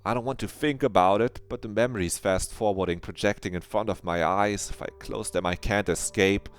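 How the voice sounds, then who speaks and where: A man's voice: on the low side, steady tone, brisk, smooth. A man reading a visual novel aloud as a streamer, narrating earnestly and reflectively.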